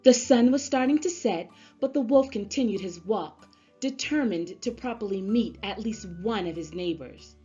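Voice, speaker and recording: A woman narrating a children's story aloud in an expressive storybook-reader style. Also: mid-range voice, normal speed, breathy edge. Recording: slightly dull sound, music underneath. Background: music.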